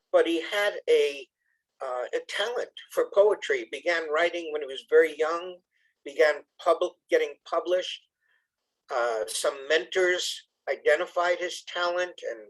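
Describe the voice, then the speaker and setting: A man reading poetry and introducing it informally to an audience, in a measured, expressive way. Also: fairly high pitch, low-quality recording. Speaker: congregation member reading poetry.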